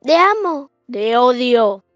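A woman's voice: on the high side, very animated, slow pace, no room sound, highs cut off, faint music behind. A woman playing a shy, smitten and easily exasperated teenage girl in a fan comic dub. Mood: annoyed.

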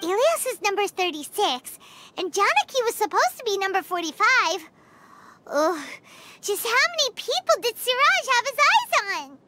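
An actress voicing a tiny excitable female fairy companion in a game, with a high, squeaky, childlike voice who talks about herself in the third person. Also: normal speed, a little hiss or hum, full character voice. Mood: curious. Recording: game audio with loud music.

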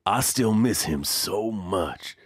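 A man's voice: on the low side, animated, slow, slightly rough, no room sound, studio quality, heavily acted. A man playing a blunt, mournful friend in grief in a mock documentary audio drama. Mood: grieving.

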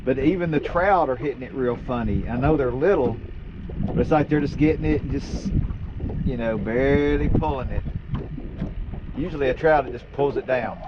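Older man, muffled by loud outdoor wind. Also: medium pitch, moderate pace. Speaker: fishing vlogger. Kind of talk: casual fishing vlog commentary. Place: on a small open boat on open water. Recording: windy outdoor noise, muffled action-camera sound. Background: loud wind and water noise.

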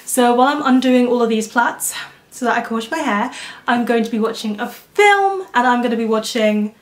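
A woman's voice: fairly high pitch, animated, normal speed. A young woman chatting enthusiastically in a casual reading vlog, filmed indoors at home by a camera facing her. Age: young adult. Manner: chatty and enthusiastic, laughs easily. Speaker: book vlogger. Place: small room at home, indoors.

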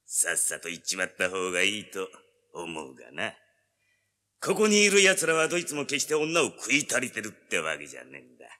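An actor playing a sleazy, blustering yakuza boss in a radio drama, with rough, oily gangster talk. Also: male voice, fairly low pitch, huge pitch swings, fairly quick, bright, forceful tone, full character voice. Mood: sneering menace. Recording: long hall-like reverb.

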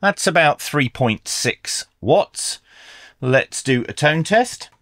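A man's voice: a little high, animated, slow pace, fairly bright tone, dry recording. A middle-aged man giving a methodical, explanatory product review in an instructional style. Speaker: radio reviewer, presenter.

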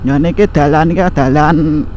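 A man with a Javanese accent chatting casually while riding a motorbike, talking to viewers through an action camera. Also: fairly high pitch. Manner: slow, relaxed chatty narration in Javanese mixed with Indonesian. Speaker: motovlogger. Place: riding a motorbike on an outdoor road. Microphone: helmet or bike-mounted action camera. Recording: faint road and traffic noise.

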